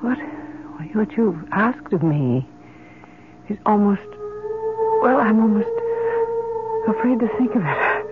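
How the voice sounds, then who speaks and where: An actress playing a tormented grieving mother in an old radio drama, halting and fearful. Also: mid-range voice, lively intonation. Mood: afraid, hesitant. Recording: dull, very noisy old radio recording.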